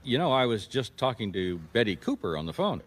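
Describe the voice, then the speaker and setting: An actor playing a patient, wry private detective in a radio mystery, questioning with dry restraint. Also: male voice, medium pitch, sing-song, fairly quick. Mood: flustered, placating.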